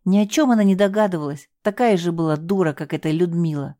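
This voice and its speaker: A woman's voice: normal speed, mellow, no echo, clean studio sound, lightly acted. A woman narrator voicing a distraught young woman who sobs, shrieks and pleads desperately. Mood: bitter. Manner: sobbing, shrill, desperate pleading.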